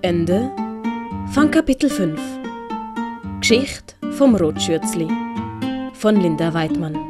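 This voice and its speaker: A woman's voice: fairly low pitch, expressive, slow pace. A woman reading scripted story narration as the narrator of an audio play. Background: music.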